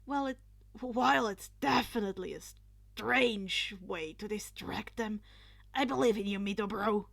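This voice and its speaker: A woman's voice: mid-range voice, measured pace, gravelly, a bit bright, dry recording. A woman voicing a hearty, loyal teenage boy in a story reading. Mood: supportive.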